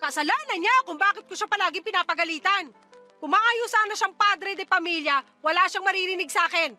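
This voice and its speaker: A woman's voice: very high voice, fairly quick, sharp tone, faint music behind, heavily acted. A woman playing a bitter, exhausted mother who scolds and nags sarcastically in a radio-style drama. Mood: angry, exasperated.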